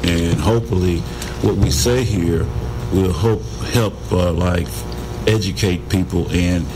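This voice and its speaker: A deep-voiced man hosting a radio talk show, putting earnest questions to his guest and stumbling a little. Low rumble and clear noise sit under the voice. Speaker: radio host. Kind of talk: radio interview.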